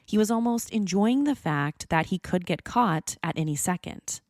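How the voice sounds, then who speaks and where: A woman's voice: lowish voice, lively intonation, normal speed, darkish tone, no room sound, studio quality. A woman hosting a podcast, reading scripted true-crime narration. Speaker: podcast host.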